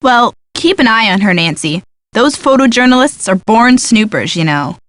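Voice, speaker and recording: A woman acting as a sardonic young friend of a detective in a video game. Her voice is fairly high and closely recorded with no room sound, her manner practical, sceptical and teasing. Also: expressive, normal speed, no echo, lightly acted. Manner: dry, sarcastic, teasing. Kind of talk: scripted video game dialogue.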